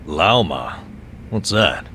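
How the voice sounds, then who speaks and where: A man's voice: deep voice, big pitch swings, slow, sharp tone, a little hiss or hum, exaggerated voice. An actor playing a skeptical middle-aged male companion in an English game dub, cautious and questioning. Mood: wary, puzzled.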